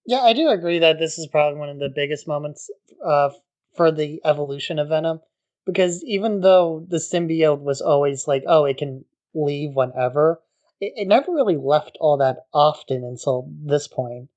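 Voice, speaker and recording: Man, podcast co-host, slightly high voice, relaxed casual chat, lots of "like". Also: normal speed, lo-fi sound. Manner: relaxed, frequent "like" fillers.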